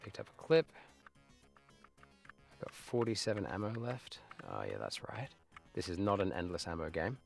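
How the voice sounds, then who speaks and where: A man's voice: sits low, wildly swooping pitch, normal speed, gravelly, long pauses, soft background music. A man in his late thirties chatting casually while he plays a game live, reminiscing and trailing off into muttered asides. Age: 30s. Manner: nostalgic, rambling, with muttered asides. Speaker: livestreamer playing games. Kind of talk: casual live gameplay commentary.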